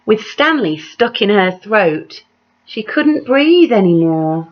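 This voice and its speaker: A woman reading a children's picture book aloud like a teacher, dramatic and measured, putting questions to her young listeners. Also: mid-range voice, very animated. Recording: dull, lo-fi sound.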